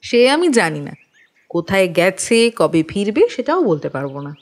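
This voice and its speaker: A woman's voice: medium pitch, very animated, normal speed. Actress doing cold snippy lady of the house, clipped n dismissive, voice moves up and down a lot, detective audio play. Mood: indifferent, curt. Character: cool, curt lady of the house, easily annoyed. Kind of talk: acted dialogue in Bengali audio detective drama.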